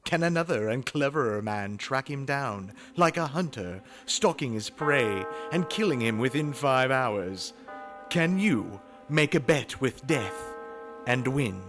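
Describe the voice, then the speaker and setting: A man's voice: medium pitch, lively intonation, unhurried. A man narrating as a dramatic announcer in the style of old-time radio suspense. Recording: loud music under the voice.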